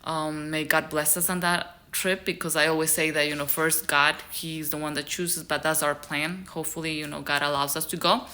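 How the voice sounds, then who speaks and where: Young woman vlogger from Ecuador, low but bright voice, chatty and earnest, full of fillers. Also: flat delivery, normal speed, few pauses. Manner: rambling, many fillers, earnest advice. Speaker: YouTube creator. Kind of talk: casual vlog talk to camera.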